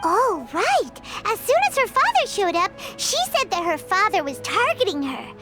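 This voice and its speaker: Extremely high, squeaky little fairy sidekick, eager and childlike, swooping delivery, game dub. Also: female voice, very animated, normal speed, over loud music. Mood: puzzled. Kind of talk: English anime game dub acting.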